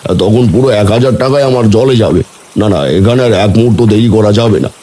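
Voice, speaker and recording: A man playing a kindly, humble village man in an animated cartoon story. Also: medium pitch, quick pace, natural voice. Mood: anxious, urgent. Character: kindly humble villagers: poor boatman and lonely widower. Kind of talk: animated story character dialogue.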